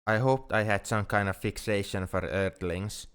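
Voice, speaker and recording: A man playing an anxious, lovesick alien friend in an ASMR roleplay, speaking in a halting, earnest, formally worded way. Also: fairly low pitch, fairly bright tone, smooth, lightly acted. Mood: tender, confessing. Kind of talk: ASMR roleplay, intimate dramatic monologue.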